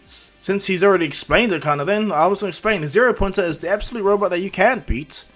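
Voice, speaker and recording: A man's voice: a little high, very animated, normal speed, faint music behind. A man voicing an emphatic, casual male exam announcer at a hero school in an anime-style story. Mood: brisk, emphatic.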